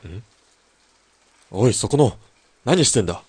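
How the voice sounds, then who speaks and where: A man playing a young sniper who has deserted, speaking in a low, steady, plain way. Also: measured pace, slight background noise. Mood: wary. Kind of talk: amateur radio drama acting.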